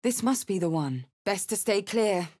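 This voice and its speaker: A woman's voice: medium pitch, expressive, moderate pace, warm tone, close to an everyday voice. A woman voicing a bold, blunt, battle-hardened tiefling warrior for a fantasy video game, with dramatic delivery. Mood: wary, matter-of-fact.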